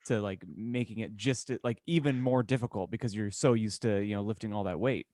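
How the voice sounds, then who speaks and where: A man co-hosting a comedy podcast with casual banter in a halting, rambling way. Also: on the low side, dark tone, dry recording, studio quality. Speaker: podcast co-host.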